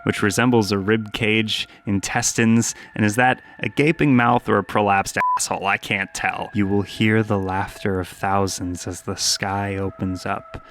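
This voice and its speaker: A man narrating a scripted video essay, with casual asides and an expressive storytelling manner. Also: fairly low pitch, normal speed, few pauses, a little hiss or hum.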